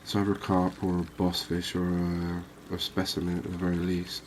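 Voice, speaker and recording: Man, low-pitched, flat even delivery, quiet ASMR game commentary, thinking aloud, background noise. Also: normal speed. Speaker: gaming video host.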